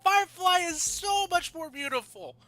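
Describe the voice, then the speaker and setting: A woman's voice: very high-pitched, big pitch swings, moderate pace, a bit bright, soft background music. A woman doing casual, blunt live commentary as a game streamer, reacting to the story.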